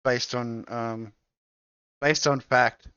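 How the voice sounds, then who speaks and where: Smooth-voiced man joking dryly. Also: medium pitch, fairly even intonation, extremely slow, fairly bright tone, dry recording, highs cut off. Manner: dry, joking, mock-dramatic asides. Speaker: co-host. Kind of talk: casual absurd chat. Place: home setup, streamed show.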